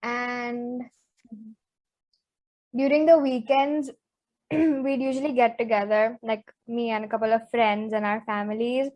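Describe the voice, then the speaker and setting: A woman's voice: a little high, measured pace, long pauses, dull audio. Chatty teenage girl. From Zambia. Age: teens. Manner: chatty, animated, says "like" a lot. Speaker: co-host, interviewee. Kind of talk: casual chat podcast.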